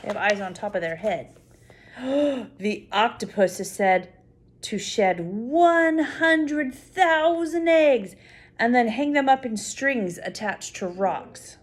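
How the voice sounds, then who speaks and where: An older woman reads a picture book to young children in a fairly high voice. She takes her time, with a very lively, swinging pitch. Her delivery is expressive and full of wonder, with simple explanations and little asides to the listener. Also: takes it slowly. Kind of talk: read-aloud story time with spontaneous asides to a child.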